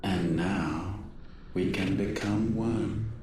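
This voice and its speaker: Game villain deity: solemn and stately, drowned in cavernous reverb, set back from the mic with music behind. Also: male voice, on the low side, fairly even intonation, very slow, noisy background. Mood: solemn, imperious. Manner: archaic, stately, pronouncing speech. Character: cold ancient godlike male being, solemn and imperious. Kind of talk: scripted game cutscene acting. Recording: cavernous echo, distant, organ music behind.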